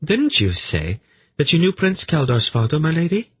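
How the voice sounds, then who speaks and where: A man's voice: a little high, huge pitch swings, moderate pace, breathy edge, no echo, voice pushed up, heavily acted. A man voicing an impish, shrewd young woman of the court in a storybook, courteous and slyly teasing. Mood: sly, probing. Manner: bright, courteous, slyly probing.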